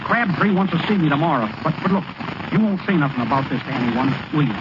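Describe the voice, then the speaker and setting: A naive, fretful country ballplayer in a vintage radio play, acted by a man. His voice sits high and his talk is plain, folksy and earnest. The old broadcast sounds muffled, with clear background noise. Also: lively intonation, quick pace, close to an everyday voice. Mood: worried, sheepish. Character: naive, worried small-town baseball pitcher, plain and slow-witted. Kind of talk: 1930s radio acting.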